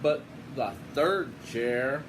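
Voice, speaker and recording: An older man reads a children's storybook like a grandfather at home, taking his time over each phrase. His tone is playful and casual, slipping into folksy asides. A steady room hum runs clearly behind his voice. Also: mid-range voice, extremely slow. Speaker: grandfather reading a picture book aloud.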